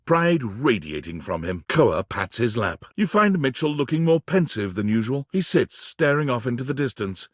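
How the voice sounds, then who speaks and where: An actor playing a suave storyteller, reading polished second-person narration for a video game. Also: male voice, medium pitch, very animated, normal speed, hardly any pauses, no echo, studio quality. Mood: descriptive, savoring. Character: suave male second-person narrator savoring lush descriptions.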